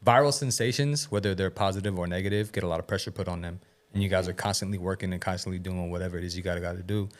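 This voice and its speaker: A man's voice: low voice, very fast. A man hosting a podcast in casual chat, talking loosely, swearing and laughing often. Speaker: podcast host.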